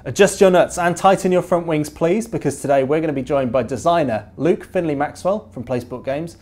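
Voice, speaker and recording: Male presenter for a games studio video show, mid-pitched, quick delivery, playful and informal interviewer. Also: a little mellow. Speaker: host of a game developer video series. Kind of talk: upbeat scripted intro and outro, casual interview between.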